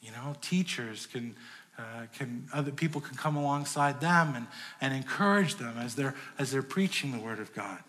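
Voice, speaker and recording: A man's voice: medium pitch, moderate pace, fairly bright tone. A man preaching a sermon to his church in a conversational way, rising and dropping in volume. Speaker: pastor. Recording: long hall reverb.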